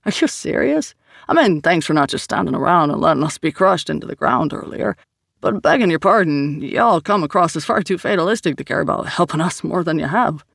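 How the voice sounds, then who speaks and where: Narrator voicing a wry, blunt, husky man. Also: medium pitch, expressive, a little fast, fairly bright tone, no room sound, studio quality. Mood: incredulous. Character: wry, plain-spoken male team member, teasing and blunt. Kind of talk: audiobook character dialogue.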